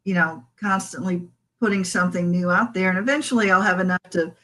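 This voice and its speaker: Bright-voiced laughing woman guest. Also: fairly low pitch. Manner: laughs often, chatty, quick asides. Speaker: musician guest. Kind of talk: casual interview chat.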